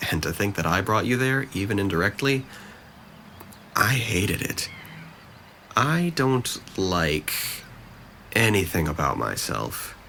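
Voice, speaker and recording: Male actor for depressed young in a breakup drama: very low, bright-edged voice, halting, self-blaming. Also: slight background noise, full character voice. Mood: self-loathing, regretful. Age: young adult. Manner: hesitant, halting, subdued. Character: depressed, self-blaming young man ending a summer romance. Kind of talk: naturalistic dramatic dialogue.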